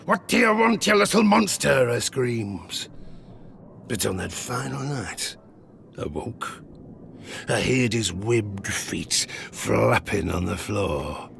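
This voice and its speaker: A grizzled old sailor spins dark bedtime tales in a creaky, gravelly brogue. His pitch swings dramatically and he lingers over words for suspense, like a gruff audio drama storyteller. Faint ambient noise sits behind him. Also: big pitch swings, slow pace, full character voice. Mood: indignant, then hushed, suspenseful.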